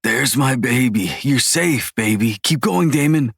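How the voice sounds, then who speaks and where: Woman playing a deep-voiced, tender male lover. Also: lively intonation, unhurried, vocal fry, slightly rough, dry recording, clean studio sound, full character voice. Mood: soothing. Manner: soft, soothing, coaxing. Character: tender, protective young man, devoted lover.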